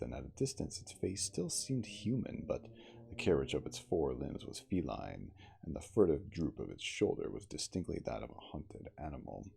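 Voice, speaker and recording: An extremely deep-voiced man reads a story aloud in a dramatic ASMR style. His voice is husky and crackles with fry, and his deliberate phrasing rises and falls expressively. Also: mellow. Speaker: audiobook reader.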